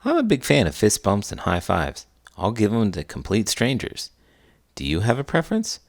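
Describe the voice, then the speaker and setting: A man's voice: on the low side, wildly swooping pitch, normal speed, gravelly. A man hosting a children's read-aloud, explaining things in a chatty, educational teacher's way. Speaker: host, elementary school teacher. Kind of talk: friendly educational chat for children.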